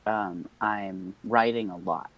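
A man's voice: lowish voice, steady tone, slow pace, a bit bright. A young man co-hosting a podcast in casual chat, rambling with many fillers and nervous laughs. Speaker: podcast co-host.

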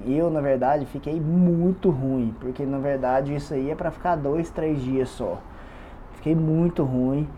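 A man's voice: medium pitch, dark tone, a little hiss or hum. A man with a stuffy, congested voice, talking casually to camera about his fitness challenge and repeating words for emphasis. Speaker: vlogger documenting a fitness challenge. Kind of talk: casual confessional vlog.

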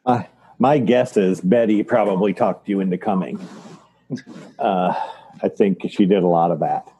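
Deep, husky man in his fifties. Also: normal speed, mellow, rough recording. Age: 50s. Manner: reflective, joking, trailing restarts. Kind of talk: casual reminiscing chat.

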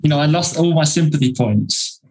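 A man's voice: on the high side, lively intonation, moderate pace, darkish tone, low-quality recording. A man with an English accent co-hosting a podcast in casual, joking chat with a self-deprecating streak. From England. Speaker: podcast co-host.

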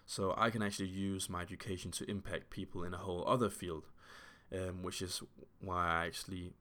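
A man's voice: sits low, flat delivery, brisk, bright, forceful tone. A man in his twenties hosting a solo podcast, talking in an earnest, reflective way with many fillers and restarts. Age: 20s. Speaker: podcast host.

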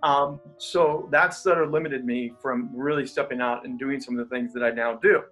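A man's voice: medium pitch, moderate pace, faint music behind, rough recording. A man who is a pastor and coach, speaking as an interview guest with energy and easy laughter, who has a stutter. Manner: energetic, animated, laughs easily. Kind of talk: interview guest, casual talk.

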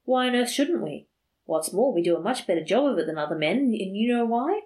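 A woman's voice: on the high side, animated, fairly quick, a little mellow, voice pushed up, natural voice. A woman voicing a lustful village priest who wheedles and boasts. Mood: wheedling.

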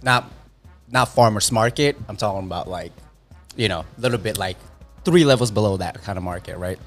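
Low-voiced Filipino man telling stories. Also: brisk, faint music behind. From Philippines. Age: 30s. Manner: rambling, self-correcting storyteller. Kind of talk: casual chat.

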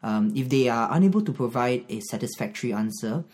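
A man's voice: medium pitch, expressive, fairly quick, darkish tone. A man from Singapore with a Singaporean accent, a Bible teacher talking earnestly as a podcast guest and laughing easily. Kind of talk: podcast conversation.

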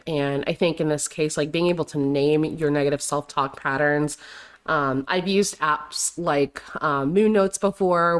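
Low-voiced woman with a slightly raspy voice. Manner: rambling, heavy on fillers and "like". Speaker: podcast host. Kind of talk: casual solo podcast talk.

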